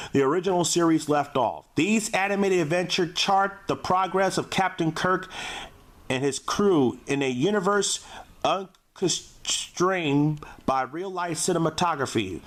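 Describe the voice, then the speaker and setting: A man with a slightly high-pitched voice presents a homemade-style, off-the-cuff review. His delivery is halting, and he often trips over words and starts them again. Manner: halting, stumbling over words when reading aloud. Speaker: DVD reviewer, show host. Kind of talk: casual unscripted video review.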